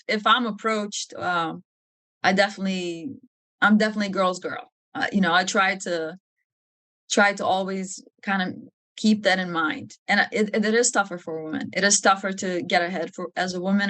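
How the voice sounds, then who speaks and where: A woman's voice: medium pitch, a bit bright, no room sound. A woman from Lithuania speaking frankly and informally as an interview guest, with a touch of humor. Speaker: podcast guest, army officer. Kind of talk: candid conversational interview.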